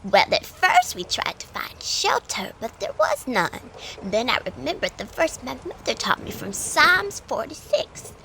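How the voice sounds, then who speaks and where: A kindly, devout old village lady acted by a woman for a children's audio story. Her high voice swoops expressively and creaks at the edges, dropping into gentle hushed phrases as she reminisces. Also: wildly swooping pitch, a little slow, hardly any pauses, slight background noise, heavily acted, rough recording. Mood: earnest storytelling. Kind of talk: children's audio drama acting.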